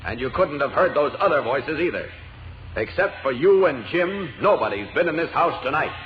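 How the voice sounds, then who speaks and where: An American actor playing a steady, firm, commanding superhero in 1940s radio drama style. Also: male voice, fairly high pitch, moderate pace, faint music behind, lightly acted. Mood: firm, puzzled. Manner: firm, matter-of-fact. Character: steady, commanding male superhero, reassuring and decisive. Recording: muffled old radio recording.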